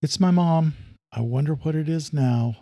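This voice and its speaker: A man voicing a young female police officer, a guarded and weary daughter, speaking softly in an audio thriller. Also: fairly high pitch, moderate pace, dry recording, studio quality, voice pushed up, natural voice. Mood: exasperated.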